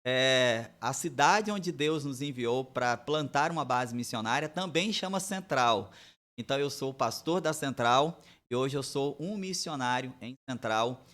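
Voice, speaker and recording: Man in his forties, from Bahia, pastor, easygoing storyteller, mid-pitched voice. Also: animated, measured pace, a bit bright. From Bahia, Brazil. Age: 40s. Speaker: pastor and missionary guest. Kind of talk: relaxed podcast interview, testimony.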